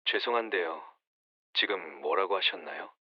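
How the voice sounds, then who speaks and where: A man voicing a calm, formal emergency dispatcher heard over the phone in an audio drama. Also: deep voice, moderate pace, breathy edge, no echo. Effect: phone line. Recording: dull phone-line sound.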